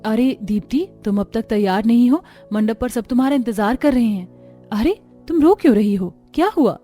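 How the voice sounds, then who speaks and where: A woman's voice: a little high, moderate pace, warm tone, faint music behind, natural voice. A woman voicing a kind, cheerful young bride with a reassuring, eager manner in a cartoon story. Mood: concerned. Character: kind, cheerful young bride, helpful elder sister-in-law. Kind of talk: Hindi cartoon story dialogue.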